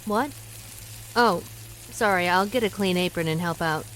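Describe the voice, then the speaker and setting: A woman's voice: on the low side, normal speed, a bit bright, a little hiss or hum, natural voice, rough recording. An actress playing a worried amateur detective who narrates her own story in a radio drama. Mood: anxious. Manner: earnest, wry first-person narration. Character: worried amateur detective woman, diner owner.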